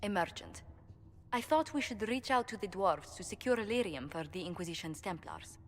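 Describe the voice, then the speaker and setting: An actress playing a polished, pragmatic ambassador in a game cutscene, formal, articulate and tactful. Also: female voice, mid-range voice, lively intonation, moderate pace, lightly acted. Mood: measured, concerned. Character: polished, diplomatic female ambassador, measured and pragmatic. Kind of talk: video game cutscene acting. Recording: hall reverb, faint background noise.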